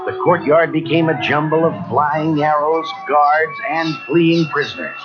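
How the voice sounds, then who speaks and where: A man narrating a children's read-along story in a dramatic, expressive, scripted style. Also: fairly high pitch, measured pace. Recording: muffled old cassette, music behind.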